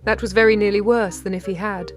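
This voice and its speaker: An actress with a British accent playing a shrewd 1930s London lady detective, narrating in a measured, wry and precise way. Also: mid-range voice, fairly even intonation, faint music behind, natural voice. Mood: composed, watchful. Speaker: narrator and lead character. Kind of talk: first-person detective audio drama narration.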